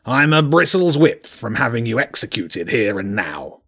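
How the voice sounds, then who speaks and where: A man voicing a gruff, commanding badger king with a husky voice and a terse, imperious manner in an audiobook. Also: medium pitch, very animated, moderate pace, bright, forceful tone, full character voice. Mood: menacing. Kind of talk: audiobook character voice.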